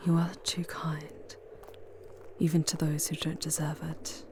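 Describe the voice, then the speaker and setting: A woman's voice: low-pitched, level tone, slow. A woman playing a charming, sardonic demon guide in an ASMR audio roleplay, murmuring breathily with wry, formal phrasing. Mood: tender.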